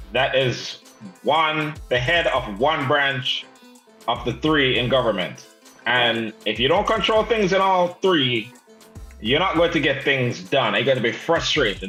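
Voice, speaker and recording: A man's voice: mid-range voice, fairly bright tone, echoey room, poor audio. A man co-hosting a casual panel chat, joking and teasing the others. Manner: joking, teasing, breaks into laughter. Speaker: co-host.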